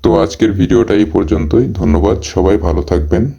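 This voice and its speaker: A man's voice: low-pitched, fairly quick, gravelly, mellow, breathy edge, lo-fi sound. A man giving a tutorial-style promotional voice-over for a phone app.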